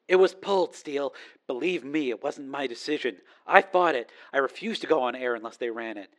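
Man voicing an earnest, determined woman reporter, bright tone. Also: medium pitch, animated, a little fast, natural voice. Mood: apologetic, frustrated. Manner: earnest, insistent. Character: determined female TV news reporter, earnest, loyal.

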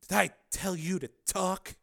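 A male narrator plays a dominant, teasing woman in an audiobook. The delivery is deliberate and ominous, giving orders with controlled authority. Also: mid-range voice, lively intonation, a bit bright. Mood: ominous command.

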